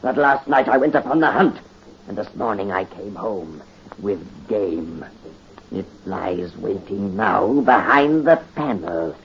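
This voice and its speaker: A radio actor playing a scheming, fanatical servant in 1930s radio drama style, speaking low and menacing on an old recording. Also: male voice, lively intonation, unhurried. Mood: gloating. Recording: dull lo-fi radio recording with faint hiss.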